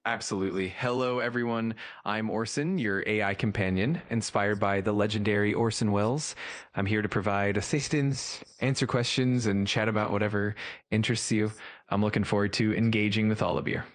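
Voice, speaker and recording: Low-voiced male AI assistant, very dry sound. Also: steady tone, a little fast, no room sound. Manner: polite, eager, formal. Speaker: AI companion on a panel. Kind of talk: helpful assistant chat.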